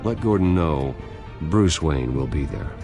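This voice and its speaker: An actor playing a brooding, composed wealthy man with a secret in a video game, calm and understated. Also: very deep voice, a little slow, music in the background. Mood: resolved, dry.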